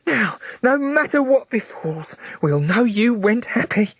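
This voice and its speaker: A man reading aloud, voicing a fussy, doting spinster sister in a high, fluting voice. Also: big pitch swings, measured pace, fairly bright tone, dry recording, studio quality, heavily acted.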